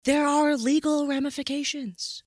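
A woman's voice: sits high, little pitch movement, normal speed, no room sound, studio quality. A woman co-hosting a podcast discussion, speaking in an emphatic, blunt, casually sweary and opinionated way. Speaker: podcast co-host.

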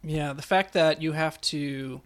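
A man's voice: a little high, a little slow. A man co-hosting a casual podcast discussion, telling stories with animation and acting out quotes. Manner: animated storytelling, acts out quotes. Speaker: podcast co-host, music theory teacher.

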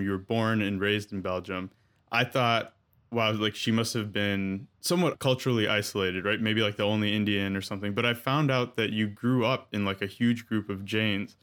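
A man's voice: fairly low pitch, moderate pace, fairly bright tone. A man hosting a podcast interview, asking questions in an easygoing, joking conversational way. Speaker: podcast host. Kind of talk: casual interview chat.

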